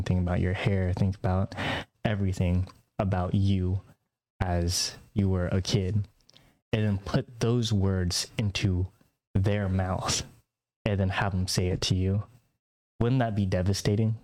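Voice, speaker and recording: A man's voice: low voice, fairly even intonation, a little slow, dark tone, low-quality recording. A man co-hosting a casual podcast, talking in a hesitant way with frequent fillers and laughs. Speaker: podcast co-host.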